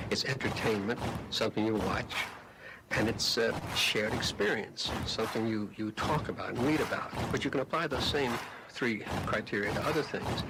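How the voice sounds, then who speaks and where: A man giving reflective, explanatory interview remarks. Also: mid-range voice. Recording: very noisy archive clip.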